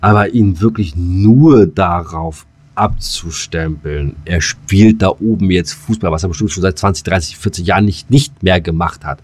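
A man's voice: low-pitched, moderate pace. A man co-hosting a podcast in casual, blunt, slangy banter that tips into ranting. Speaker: podcast co-host.

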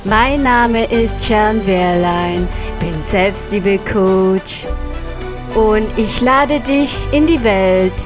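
A woman hosting a podcast as a self-love coach, introducing the show calmly and speaking with her guest. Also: mid-range voice, extremely slow. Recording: intro over music; interview slightly dull.

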